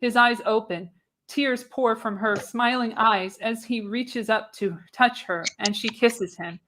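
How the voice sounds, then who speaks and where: A woman's voice: fairly high pitch, a little slow, background noise. A woman reading screenplay narration for a live radio play, theatrical and emphatic on the action. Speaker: podcast host reading the narration.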